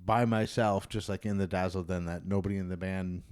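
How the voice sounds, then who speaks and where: Low-voiced man rambling in casual chat. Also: steady tone, darkish tone, clear, resonant tone. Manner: rambling, halting, sweary. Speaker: podcast host and band bass player.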